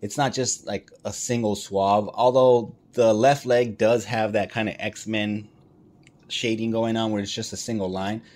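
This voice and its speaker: A man's voice: lowish voice, steady tone, unhurried, darkish tone. A man hosting a casual toy review, speaking informally and enthusiastically with plenty of slang.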